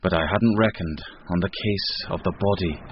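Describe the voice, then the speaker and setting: An actor playing a long-suffering police sergeant formally narrating a transfer letter in a radio drama, resigned in manner. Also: male voice, low voice, normal speed, close to an everyday voice. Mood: weary, resigned. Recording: dull, faintly noisy recording.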